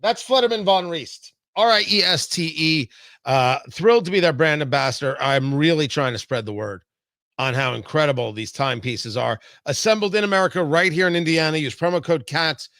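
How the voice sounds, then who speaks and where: A man's voice: on the high side, brisk, a bit bright, dry recording. A man hosting a conversational talk show, speaking in an emphatic, punchy way from a studio.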